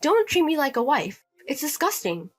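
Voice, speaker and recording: A woman's voice: sits high, sing-song, normal speed, faint music behind, voice pushed up. A woman voicing a fed-up young wife who answers curtly and repeats herself firmly. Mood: defiant.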